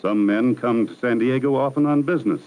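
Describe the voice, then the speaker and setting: A man narrating a 1960s educational film in a measured, formal, instructive manner. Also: medium pitch, darkish tone. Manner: measured, formal, didactic delivery. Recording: 1960s archival film soundtrack.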